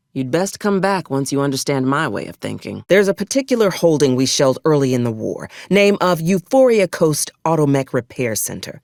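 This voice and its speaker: A woman's voice: rumbling low, gravelly, dry recording, clean studio sound, heavily acted. A woman playing an ambitious, ruthless military officer in a video game, wry, with formal old-fashioned wording mixed with folksy frontier slang. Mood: brusque, commanding. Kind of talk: video game character dialogue.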